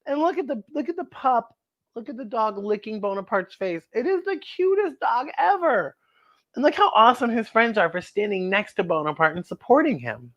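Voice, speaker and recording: A woman hosting a children's story time, reading a picture book aloud expressively and talking directly to young listeners with exclamations. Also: medium pitch.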